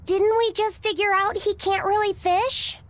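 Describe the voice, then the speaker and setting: A woman's voice: extremely high pitch, steady tone, measured pace, fairly bright tone. A woman voicing a blunt, childlike youngster in a video game. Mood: blunt, teasing.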